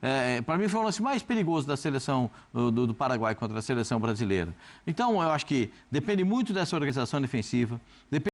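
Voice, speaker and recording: A man's voice: mid-range voice, fast talker. A man from Brazil commenting on a TV football debate show, talking animatedly with frequent restarts. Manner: hesitant, many restarts, animated. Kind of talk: TV sports debate.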